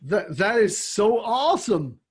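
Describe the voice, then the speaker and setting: A man's voice: sits high, very animated, measured pace, breathy edge. A man hosting a podcast interview who reacts with enthusiasm and keeps up a stream of short affirmations. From outside the United States. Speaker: podcast host. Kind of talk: interview host, conversational.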